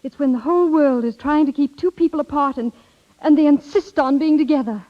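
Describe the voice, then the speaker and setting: An actress playing an independent, wistful young wife, tender but firm and hesitant, in a muffled 1930s radio drama. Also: female voice, high voice, natural voice. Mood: firm, earnest. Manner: hesitant pauses, earnest.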